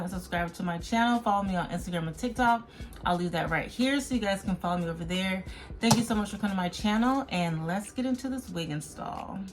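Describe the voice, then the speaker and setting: A woman hosting a beauty vlog, talking casually and directly to viewers in an informal, chatty review style. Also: medium pitch. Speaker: beauty vlogger and host.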